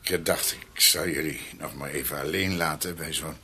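An actor playing a kindly, frail older master in a Dutch radio drama, speaking in a halting, fatherly way with a raspy voice. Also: very deep voice, pushed and bright, full character voice. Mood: moved, glad.

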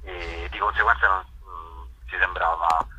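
Deep, flat, hard-edged man. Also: husky, highs cut off. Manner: halting, reflective, many false starts. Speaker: author and actor interviewed as guest. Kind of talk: casual radio interview.

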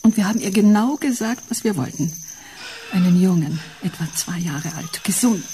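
An actress playing an ageing, poor, earnest wife longing for a child, in plaintive radio drama style. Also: female voice, mid-range voice, expressive, moderate pace, dark tone, a little hiss or hum, natural voice.

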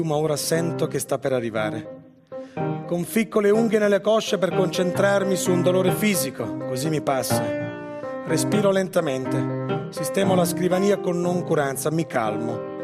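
A man performing a haunted, grieving genocide survivor in a measured, intense radio-theatre monologue with pauses. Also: high-pitched. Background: live music under the voice.

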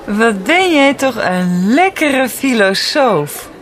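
Guarded, expressive young-relationship ex. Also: female voice, fairly high pitch, slow pace. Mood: dry. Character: Anneke, guarded woman, freshly out of a relationship. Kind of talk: naturalistic radio drama dialogue.

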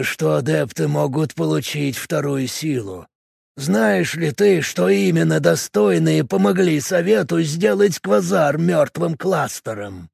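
Kaek, a man doing a creaky cult fanatic. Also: no room sound, studio quality, heavily acted. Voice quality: low, creaky, husky murmur. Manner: slow, hushed, preaching delivery. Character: fanatical male cult adept, calm, solemn. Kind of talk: audiobook character voice.

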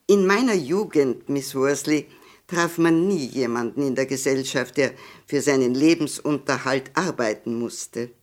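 An elderly society lady in a vintage radio drama, low for a woman. She is snobbish and condescending, rambling through gossip with small hesitations and a polished air of superiority. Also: unhurried. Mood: musing disapproval. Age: older. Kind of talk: 1960s German radio play acting.

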